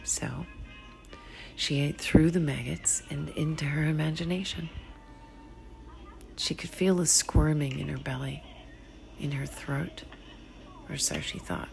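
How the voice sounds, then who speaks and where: Low, slow, creaky woman storyteller. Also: steady tone, low-quality recording. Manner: hushed, deliberate, often dropping to a whisper. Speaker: author reading own short story. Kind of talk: slow, dramatic literary storytelling. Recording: music behind the voice. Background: music.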